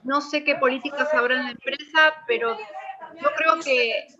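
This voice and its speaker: A woman leads an online seminar, first reading out a list of guidelines, then talking freely in reply to questions. Her voice is bright and sits a little high. The sound is muffled, as on a video call. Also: measured pace. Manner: reads points as a list, then talks freely. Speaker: webinar presenter.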